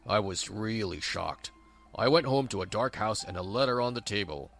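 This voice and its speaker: A humbled older father voiced by a man speaks in a sheepish, supportive way. His pitch moves around, and music plays underneath in this manga dub. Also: animated, normal speed, gravelly, a bit bright. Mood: reflective. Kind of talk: scripted manga dub dialogue.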